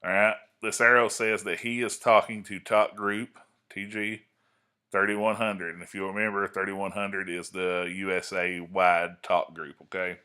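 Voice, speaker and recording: A man with a deep voice and a clear-edged, bright tone speaks. He presents an informal tutorial in a folksy, explanatory manner, pausing to check that the listener follows. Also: little pitch movement. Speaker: amateur radio hobbyist presenting a tutorial. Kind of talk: informal screen-recorded tutorial.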